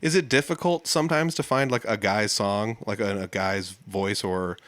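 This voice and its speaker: Male podcast host, low voice, loose and easygoing, dry studio sound. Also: moderate pace, a bit bright, no echo. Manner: easygoing, self-deprecating, loose phrasing. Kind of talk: casual chat.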